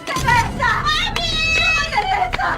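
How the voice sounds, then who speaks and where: A woman's voice: very high-pitched, lively intonation, slow, exaggerated voice. An actress playing a panicked woman pleading for help in a Filipino radio melodrama, frantic and wailing. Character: panicked, pleading younger sister crying for help. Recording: very loud music and crowd-like din behind.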